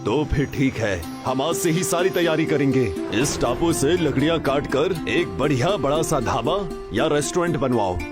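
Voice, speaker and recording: A man's voice: mid-range voice, animated, moderate pace, few pauses, over loud music, pitched below the performer's own voice, close to an everyday voice. A man voicing a commanding, blunt pirate chief weary of captivity in a story.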